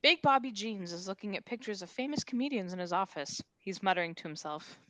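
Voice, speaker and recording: A woman's voice: medium pitch, animated, a little fast, sharp tone, poor audio. A woman reading scripted stage directions aloud at a table read.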